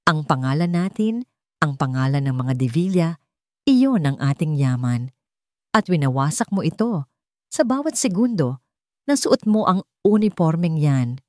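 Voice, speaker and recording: A woman's voice: low-pitched, sing-song, a little slow, a little mellow, no echo, clean studio sound. A woman voicing a cold, furious, elderly rich matriarch in an audio drama, controlled, commanding and scornful. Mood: scornful lecturing.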